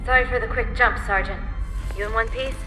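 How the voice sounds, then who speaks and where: Actress as female AI tactician, higher voice, wry, authoritative, brisk, video game cutscene. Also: steady tone, music in the background, close to an everyday voice. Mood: brisk, matter-of-fact.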